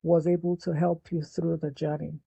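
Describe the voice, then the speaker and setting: Gravelly low Nigerian-accented woman. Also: normal speed, no room sound. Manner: calm, explanatory, eases into points with fillers. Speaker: guest, youth mental health foundation founder. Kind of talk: casual interview conversation.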